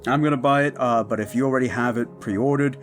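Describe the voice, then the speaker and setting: A man's voice: mid-range voice, fast, soft background music. A man who makes audio roleplays, speaking in an upbeat, informal way as he gives a casual announcement to his followers.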